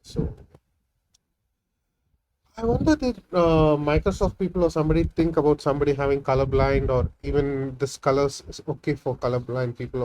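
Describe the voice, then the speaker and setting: A man's voice: fairly high pitch, a little mellow, a little hiss or hum, low-quality recording. Man thinking aloud while coding. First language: Tamil. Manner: thinking aloud, many fillers and "right?" tags. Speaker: programming tutorial host. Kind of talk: casual screen-recorded coding walkthrough.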